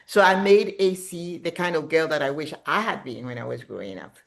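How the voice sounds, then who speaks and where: A middle-aged woman talks as an author being interviewed. Her delivery is conversational and animated, with a reflective way of recounting her own thoughts. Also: sits low, a little fast, creaky. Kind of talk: casual conversational storytelling about writing.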